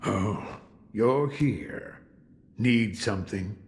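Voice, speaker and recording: An actor playing a weary, gruff old hermit warrior in a game cutscene, gravelly, terse and brooding. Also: male voice, lowish voice, very slow, background noise. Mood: gruff, guarded. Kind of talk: video game cutscene acting. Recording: long hall reverb.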